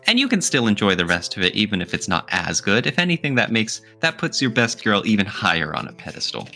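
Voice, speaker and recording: Male podcast co-host, deepish and forceful, playfully argumentative, casual chat with faint music underneath. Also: huge pitch swings, quick pace.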